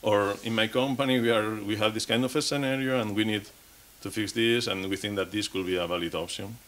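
Man, 30s, lowish voice, speaking from a podium at a conference, restarting and repeating words as he goes. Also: fairly even intonation, fairly quick, fairly bright tone. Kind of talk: technical conference talk. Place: conference stage.

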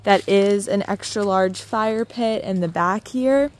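A woman's voice: mid-range voice, slow. A woman real estate agent giving an upbeat, chatty walking tour of a house, filming it herself on a phone. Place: inside and around a house, voice-over while filming.

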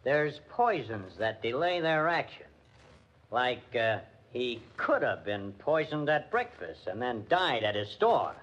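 An actor playing a blunt, suspicious police lieutenant in a 1940s radio drama, with an American accent and a colloquial hardboiled manner. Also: male voice, medium pitch, big pitch swings, takes it slowly, fairly bright tone, exaggerated voice. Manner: hardboiled, colloquial, hesitant interjections. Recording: muffled vintage 1940s radio recording.

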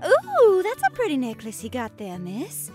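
Woman as gentle snow girl, very high and lively, polite and shy. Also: normal speed, soft background music. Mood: sweet. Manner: polite, folksy, timid.

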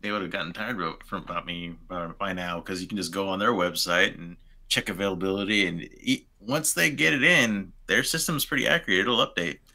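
Podcast guest, male, 30s, low pitch, sharply bright tone, relaxed conversational delivery. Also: a little fast, creaky, hardly any pauses. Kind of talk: casual chat about anime and manga. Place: home room with bookshelves, video call.

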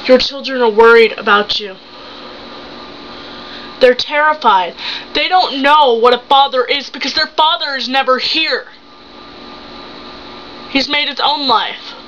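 A seventeen-year-old young woman acting a betrayed, hurt and angry wife and mother in an improvised dramatic monologue, accusing with halting, emphatic phrases. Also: sits high, a bit bright. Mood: bitter, accusing. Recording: muffled home recording with hum.

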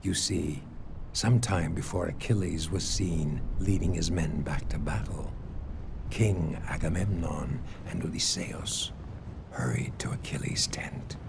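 Ominous game narrator, deep voice, slow, solemn, portentous, epic war tale. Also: male voice, vocal fry, background noise, exaggerated voice. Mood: grave, foreboding. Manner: grave, portentous. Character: solemn, ominous male storyteller of ancient war. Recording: loud music under the voice.

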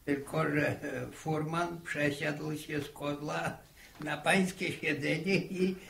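A man's voice: fairly high pitch, flat delivery, creaky, fairly bright tone, exaggerated voice. An actor playing a rambling, good-humoured old village grandfather who halts and repeats himself in a 1960s radio drama. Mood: amused reminiscing. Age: older. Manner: halting, repeating words, chuckling storyteller. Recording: 1960 archive radio drama recording.

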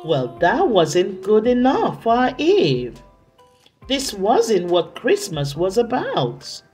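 A woman reading a story aloud for children, deliberately, with dramatic emphasis and drawn-out words. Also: medium pitch, huge pitch swings, darkish tone, soft background music. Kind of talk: expressive read-aloud narration for children.

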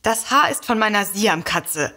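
An actress playing a haughty hostess with literary enthusiasms in a comedic audio drama. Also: female voice, medium pitch, lively intonation, measured pace, pushed and bright. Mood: indignant.

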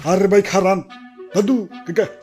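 An extremely high-pitched cartoon lad, voiced by a man, plays a vain young content creator. He boasts with great self-importance and stutters on words. Music is heard behind him. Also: flat delivery, normal speed, a little mellow, natural voice. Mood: impatient, boastful. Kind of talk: comic cartoon dialogue.

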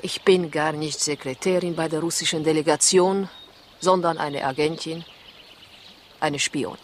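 An actress plays a poised female spy in an old radio thriller. She coaxes and gently talks down to her listener. The 1960s recording has a faint layer of noise. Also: low voice, fairly even intonation, moderate pace, close to an everyday voice. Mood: coaxing, confiding. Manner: coaxing, condescending endearments. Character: poised female Russian spy, coaxing and patronizing. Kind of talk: 1960s German radio crime play acting.

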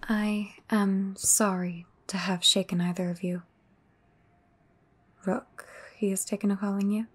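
A woman playing a cryptic, foreboding seer in an audio roleplay, with a husky, breathy voice and measured, earnest delivery. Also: medium pitch, level tone, a little hiss or hum, lightly acted. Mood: earnest, grave. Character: cryptic, foreboding female seer offering grave warnings.

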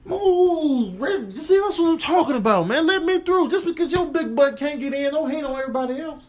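Man doing a high-pitched, pleading, pushy dog. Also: lively intonation, brisk, echoey room, far from the mic. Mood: pleading, indignant. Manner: pleading, argumentative, slangy. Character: determined, indignant male dog squeezing through, whiny. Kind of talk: comic animal voiceover.

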